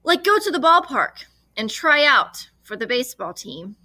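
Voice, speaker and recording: A woman's voice: high voice, very animated, moderate pace, pushed and bright, pitched above the performer's own voice, heavily acted, rough recording. A woman voicing an eager, earnest young boy adventurer in a children's storybook.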